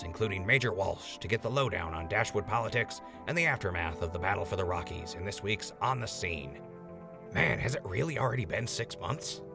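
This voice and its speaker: A man playing a gravelly wasteland radio host. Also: low-pitched. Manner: upbeat, wry announcer patter. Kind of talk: audio drama radio show. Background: music.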